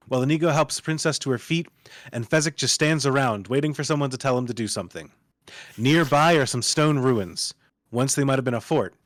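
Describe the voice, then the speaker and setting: Casting: male table-read narrator, medium pitch, delivering screen directions dramatically, close dry sound. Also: fairly bright tone, no room sound. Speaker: narrator reading stage directions.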